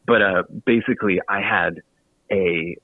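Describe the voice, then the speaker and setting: An American man from Virginia, a guest author telling stories casually in an interview, chatty, halting and self-deprecating. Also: low-pitched, steady tone. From Virginia, United States. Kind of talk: casual storytelling in a radio interview. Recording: tinny phone-like line with some room echo.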